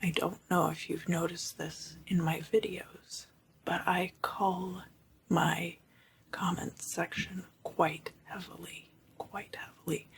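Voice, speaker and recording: A woman hosting ASMR, whispering a guided meditation in a slow, hushed manner and softly repeating her phrases. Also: fairly low pitch, vocal fry, slight background noise. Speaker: ASMR video host.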